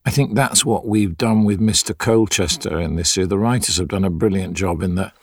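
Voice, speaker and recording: A man's voice: deep voice, fairly quick, breathy edge, no room sound, clean studio sound. A middle-aged man, an actor, talking reflectively in an interview. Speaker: audio drama actor.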